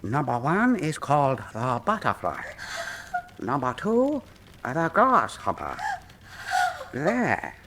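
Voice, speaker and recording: A man's voice: mid-range voice, huge pitch swings, slow pace, long pauses, fairly bright tone, slight background noise, exaggerated voice. A man playing a proud, courteous Chinese master torturer in a radio drama, speaking in a formal, ceremonious manner. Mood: gloating, methodical.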